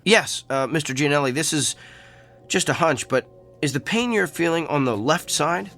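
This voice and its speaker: A man's voice: fairly high pitch, lively intonation, moderate pace, sharp tone, soft background music. An actor in a radio drama playing an earnest male doctor with an uncanny gift for diagnosis. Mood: probing, calm.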